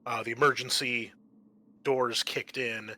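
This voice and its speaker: A man hosting a tabletop roleplaying game as game master, narrating off the cuff and pausing to think aloud. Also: mid-range voice, little pitch movement, sharp tone, a little hiss or hum.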